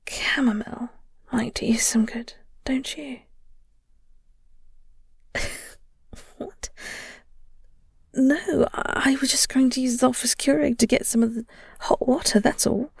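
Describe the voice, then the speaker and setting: A woman playing a flirty, tender English coworker in an intimate audio roleplay, speaking softly and breathily with a gentle, halting, genteel manner. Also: high voice, no echo, clean studio sound, exaggerated voice. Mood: flustered, amused. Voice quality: soft, breathy. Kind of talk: intimate audio roleplay, soft comforting ASMR acting.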